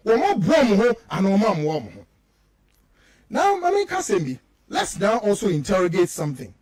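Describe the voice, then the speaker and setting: A man with a Ghanaian accent giving impassioned political commentary as a radio host, emphatic and repetitive, firing off rhetorical questions. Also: high voice. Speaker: radio talk show host.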